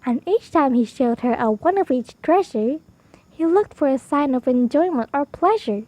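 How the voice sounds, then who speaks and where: A woman reading a rhyming children's story aloud in an expressive, rhythmic storytime style. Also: sits high, normal speed, no echo.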